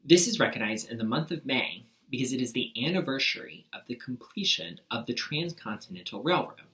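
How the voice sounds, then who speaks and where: A woman presenting a school news segment, explaining clearly to pupils. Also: a bass voice, expressive, fairly quick, fairly bright tone, far from the mic, low-quality recording. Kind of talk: informative school announcement. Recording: voice a little away from the mic.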